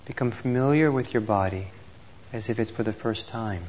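Low-voiced man guiding meditation, muffled noisy recording. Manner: gentle, unhurried, with pauses. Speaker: meditation teacher. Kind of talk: guided meditation instruction to a group. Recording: dull lo-fi audio with clear background noise.

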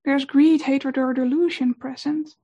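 Soft-spoken Dutch woman teaching meditation. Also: high voice, steady tone. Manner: gentle, unhurried, with many fillers. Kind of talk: dharma talk on meditation.

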